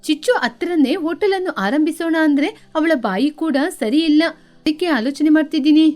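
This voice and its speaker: A woman voicing a worried, good-hearted mother bird in an animated children's moral story, speaking earnestly and expressively. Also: high-pitched, brisk, soft background music, close to an everyday voice.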